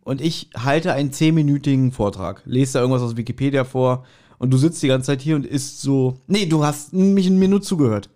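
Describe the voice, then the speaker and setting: A man's voice: mid-range voice, a little fast, warm tone. A man chatting casually as a podcast host, telling rambling stories with mock grievance.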